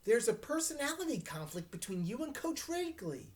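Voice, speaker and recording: A woman's voice: on the high side, very animated, normal speed, darkish tone, voice pushed up. A woman reading the part of a pushy, nosy middle-school boy reporter from a children's novel, in a probing, insinuating way.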